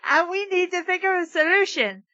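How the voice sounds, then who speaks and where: A woman's voice: very high voice, lively intonation, brisk, background noise, pitched above the performer's own voice. A woman voicing a nerdy teenage boy as she reads a fan story aloud for laughs, holding her nose so he sounds pinched and nasal. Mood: urgent. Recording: dull lo-fi sound.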